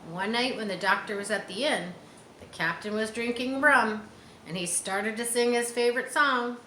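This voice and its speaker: A woman's voice: a little high, moderate pace, bright, forceful tone. A woman reading a children's chapter book aloud, with simple explanatory asides for young listeners. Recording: roomy echo, faint background noise.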